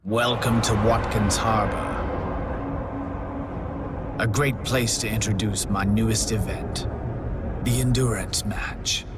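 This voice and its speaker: A male actor playing the sinister master of a deadly contest, announcing with mocking, measured menace. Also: lowish voice, steady tone. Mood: smug menace. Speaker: game announcer. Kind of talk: scripted villain narration. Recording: loud music and engine noise behind. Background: loud music, vehicles.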